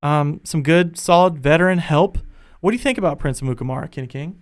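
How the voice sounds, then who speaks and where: A young man hosting a casual sports podcast from his home studio, chatty and energetic, his voice a bit worn. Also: on the high side, quick pace. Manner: energetic, chatty, thinking aloud. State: losing his voice. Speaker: podcast host.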